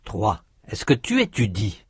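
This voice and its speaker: A man reading drill questions for a language course, in slow prompts with lively intonation. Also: lowish voice, breathy edge, dry recording.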